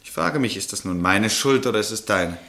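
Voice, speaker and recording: A lowish-voiced, charming aristocratic husband. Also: male voice, expressive, fairly quick, creaky, heavily acted. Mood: weary, frustrated. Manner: teasing, genteel, gently ironic. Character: easygoing, evasive aristocratic husband, charming and indecisive. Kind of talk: German radio drama acting.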